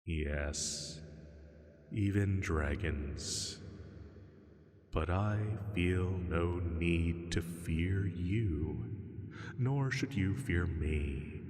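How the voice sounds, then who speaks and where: A man voicing a huge ancient dragon, calm, protective and gently possessive, speaking in hushed, lordly, soothing tones for a sleep-aid audio roleplay. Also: low voice. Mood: calm, musing. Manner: unhurried, hushed, soothing, lordly formal phrasing. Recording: long cavernous reverb.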